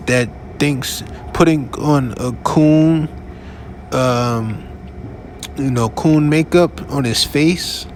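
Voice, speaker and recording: A man hosting a podcast, giving casual commentary in a conversational, halting way with restarts and asides. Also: mid-range voice, a little hiss or hum. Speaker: podcast host.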